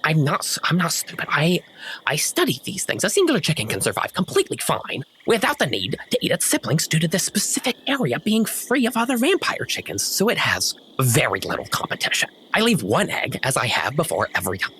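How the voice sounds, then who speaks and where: A man's voice: on the high side, wildly swooping pitch, brisk, bright, forceful tone, slight background noise, voice pushed up, exaggerated voice. A man voicing a scrawny, eccentric naturalist in a tabletop game, fussy, stammering and defensive. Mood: indignant, defensive. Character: tall scrawny bald eccentric chicken-breeding naturalist, indignant.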